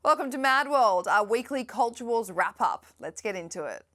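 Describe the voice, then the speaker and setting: A young adult woman presenting a scripted news roundup in a brisk, lightly sardonic manner. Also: a little high, expressive, loud music behind the voice.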